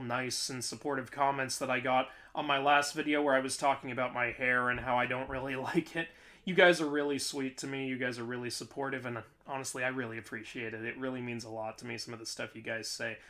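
A man's voice: mid-range voice, bright, forceful tone. A young adult man chatting casually and informally to camera as a video host. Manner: informal, conversational, frequent "like" and "you know". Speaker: YouTube host. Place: small bedroom at home.

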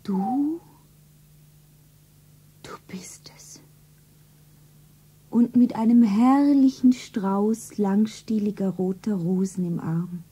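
A woman's voice: medium pitch, warm tone. An actress playing a lovestruck, ordinary young working girl who earnestly narrates her own romance in a stylised 1970s radio play. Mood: hushed, wounded. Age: young adult. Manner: breathy, earnest, deliberate self-narration.

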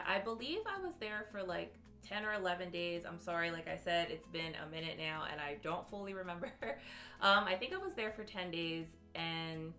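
A woman's voice: fairly low pitch, sharp tone. A young woman talking casually to the camera in a filler-heavy, chatty way, recorded at a desk in a small room. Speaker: vlogger. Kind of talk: casual direct-to-camera review. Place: small indoor room with shelves.

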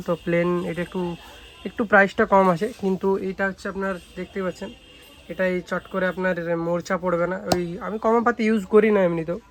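A man's voice: high-pitched, fairly bright tone. A young man with a West Bengali accent giving an informal product showcase, often adding short check-in tags as he talks. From West Bengal, India. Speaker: speaker repair shop presenter.

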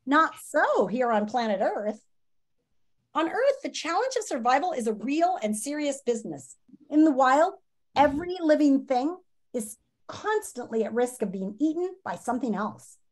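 A woman in her fifties reading a children's nonfiction book aloud in a dramatic, teacherly way with emphatic pauses, on a video call from a room at home. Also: sits high, very animated. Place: small home room, indoors. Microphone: video call, computer microphone.

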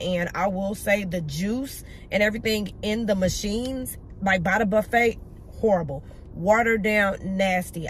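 Young woman, lifestyle vlogger, easy talkative delivery, slight background hum. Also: medium pitch. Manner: chatty, addresses viewers as y'all. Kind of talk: casual chat to camera. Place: home, then shopping in a store.

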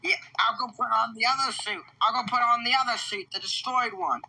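A man's voice: very high voice, a little fast, vocal fry, pushed and bright, poor audio. A man roleplaying a taunting, short-tempered animatronic villain in a casual online game, speaking with menace. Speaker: player in a Minecraft roleplay. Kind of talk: in-character gaming roleplay with friends.

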